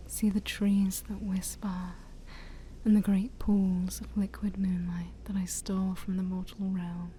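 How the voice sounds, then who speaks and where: A woman playing a shy, scheming demon princess of a dark forest in an ASMR roleplay, soft, breathy and mostly whispered, with coaxing, formal speech and playful asides. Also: mid-range voice, a little hiss or hum, close to an everyday voice. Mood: eager, coaxing. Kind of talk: ASMR roleplay addressed to the listener.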